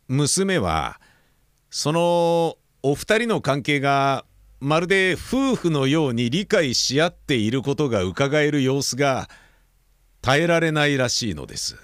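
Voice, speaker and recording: Worried middle-aged father, formal and firm, with a lively pitch, dry close sound. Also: no room sound. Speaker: father of a young woman. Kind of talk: radio drama acting.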